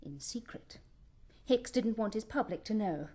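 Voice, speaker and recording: A grief-stricken Victorian actress in a radio play. Her voice is mellow and dark, and she talks with hushed intensity, often falling to a bare whisper. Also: medium pitch, animated, unhurried. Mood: grieving. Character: grieving Victorian actress, lover of a murdered star. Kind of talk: radio drama acting.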